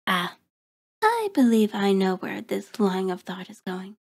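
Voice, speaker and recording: A woman's voice: medium pitch, sing-song, gravelly, breathy edge, full character voice. A woman playing a cold, taunting vampire in an intimate ASMR horror roleplay, speaking with mocking, formal deliberation. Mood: smug, teasing. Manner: slow, deliberate, mocking, haughty formal diction. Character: cold, taunting, predatory female vampire toying with prey.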